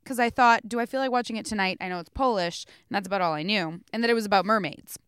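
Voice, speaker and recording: A woman's voice: mid-range voice, quick pace, fairly bright tone, clean tone, no echo, studio quality. A woman co-hosting a podcast, chatting casually and enthusiastically about a film. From North America. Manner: enthusiastic, emphatic. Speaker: podcast co-host. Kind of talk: casual film review chat.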